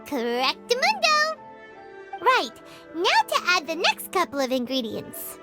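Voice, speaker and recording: A woman voicing a tiny, cheerful fairy-like child companion in a video game, with a squeaky childlike voice and bubbly manner. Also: huge pitch swings, a little slow, exaggerated voice. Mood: upbeat. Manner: bubbly, speaks of herself in third person. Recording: loud music under the voice. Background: game music.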